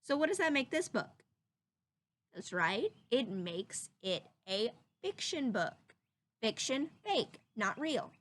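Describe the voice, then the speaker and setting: A woman reading a children's picture book aloud in an animated teacher's way, drawing out words and pausing to put questions to young listeners. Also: a little high.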